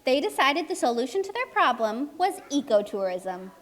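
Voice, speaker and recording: Lady narrating a kids puppet show, high voice, expressive, big echoey room. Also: moderate pace. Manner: expressive, addresses young children with simple questions. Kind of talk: children's storytelling to a live audience. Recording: echoey hall, live show.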